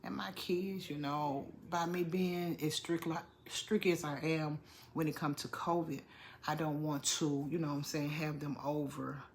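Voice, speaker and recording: A woman's voice: low voice, little pitch movement, measured pace, slight background noise. Congested middle-aged woman vlogging. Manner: chatty, repeats herself, Southern-style "y'all". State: congested, under the weather. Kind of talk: casual vlog talk to viewers.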